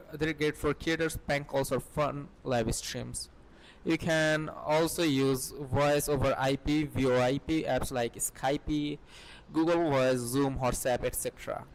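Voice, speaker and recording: A man hosting a tutorial, reading scripted how-to narration in a somewhat halting way. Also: medium pitch, low-quality recording. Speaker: tutorial host.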